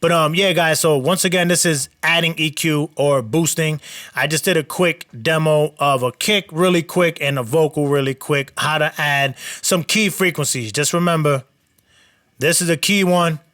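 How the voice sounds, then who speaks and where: A man's voice: fairly high pitch, normal speed, no room sound, clean studio sound. Nasal man explaining a mix. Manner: relaxed, rambling explanations with fillers. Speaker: mixing engineer and channel host. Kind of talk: casual screen-share tutorial.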